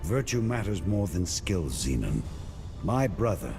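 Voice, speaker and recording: Resolute fantasy game warrior, low voice, expressive swings, terse, grave, music behind. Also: male voice, measured pace, vocal fry, full character voice. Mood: brooding. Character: stern, resolute warrior hero set against his brother. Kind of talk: video game cutscene acting.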